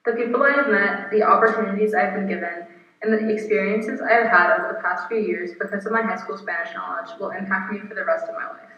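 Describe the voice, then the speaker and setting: Young woman guest speaker, earnest and steady, far from the mic, muffled, very roomy. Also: mid-range voice, quick pace. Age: 20s. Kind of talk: reading a prepared speech. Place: apartment room. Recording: echoey, distant, muffled video recording.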